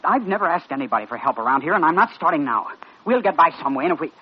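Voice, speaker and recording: A young man acting a proud, defensive intern in an old-time radio drama, hesitant and halting but stubborn. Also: fairly high pitch, big pitch swings, breathy edge. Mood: defensive, resigned. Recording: muffled, noisy vintage radio recording.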